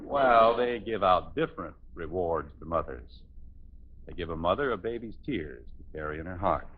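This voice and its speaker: A man's voice: sits low, lively intonation, normal speed, a little hiss or hum. An actor playing a stern but loving middle-aged American father in a 1950s radio sitcom, emphatic and given to speechifying. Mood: earnest, tender. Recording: dull old radio recording.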